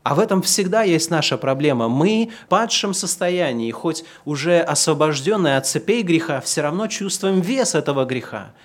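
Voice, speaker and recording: A man giving a sermon, who moves between slow, loud, emphatic passages and quieter, conversational asides to the congregation. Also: fairly high pitch, expressive. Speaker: pastor.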